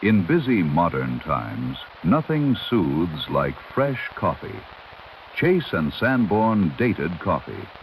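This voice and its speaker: A man's voice: deep voice, big pitch swings, slow. A man working as a news announcer, reading a scripted newscast in a formal, dramatic 1930s radio style. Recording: dull vintage radio sound, faint noise.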